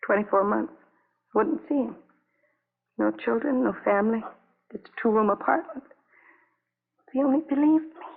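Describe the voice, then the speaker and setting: An actress playing an anguished, lonely young wife in a 1950s radio drama, speaking in halting, repetitive fragments on a vintage broadcast recording. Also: female voice, fairly high pitch, gravelly, phone-quality sound. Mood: desolate, pleading.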